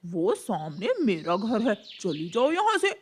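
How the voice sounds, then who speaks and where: A woman voicing a mean male cartoon crow who talks in a loud, scornful, taunting way. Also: medium pitch, wildly swooping pitch, measured pace, a little hiss or hum, voice pushed down.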